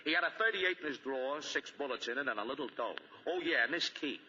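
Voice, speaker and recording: An actor playing a brisk, matter-of-fact American police detective with clipped, slangy talk, in 1940s radio style. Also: male voice, medium pitch, lively intonation, bright, forceful tone. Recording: muffled, faintly noisy 1940 radio broadcast.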